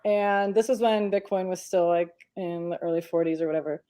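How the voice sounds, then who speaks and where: Mellow-voiced woman telling a family story. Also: lowish voice, a little fast. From Romania. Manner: animated storytelling, quoting family dialogue. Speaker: podcast panelist. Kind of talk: casual panel discussion.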